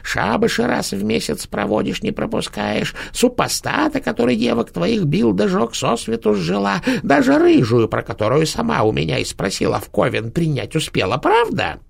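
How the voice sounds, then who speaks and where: A man's voice: high-pitched, very animated, normal speed, creaky, hardly any pauses, breathy edge, voice pushed up, full character voice. A man voicing a dead, rotting old witch grandmother who sneers and scolds her granddaughter in a cracked, rattling voice. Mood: mocking. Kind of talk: audiobook narration.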